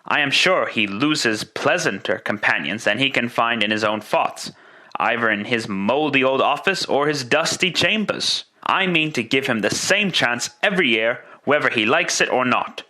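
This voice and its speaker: A man playing a jovial, good-natured young gentleman with a hearty, animated manner in a classic radio play. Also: mid-range voice, normal speed. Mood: cheerful, fond. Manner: hearty, animated, chuckling cheerfulness. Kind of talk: classic radio drama acting.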